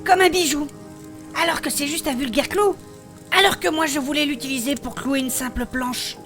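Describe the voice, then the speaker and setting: A woman playing a spirited female blacksmith adventurer, recounting her past in animated, colloquial in a fantasy audio drama. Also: high-pitched, moderate pace, soft background music. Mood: fond, proud. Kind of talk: French fantasy audio fiction acting.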